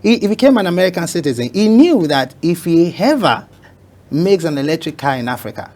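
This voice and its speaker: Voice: medium pitch, very animated, brisk, a little mellow. A talk show guest telling an emphatic story, often repeating phrases for effect. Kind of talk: motivational talk show conversation.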